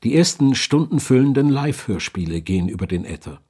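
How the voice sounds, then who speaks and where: A man's voice: mid-range voice, sing-song, brisk, warm tone, breathy edge. A man narrating a documentary from a script.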